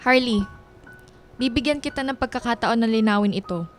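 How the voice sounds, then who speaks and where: A woman playing a stern, formal office manager in a radio drama, speaking in a firm, measured manner. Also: a little high, lightly acted. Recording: music behind the voice.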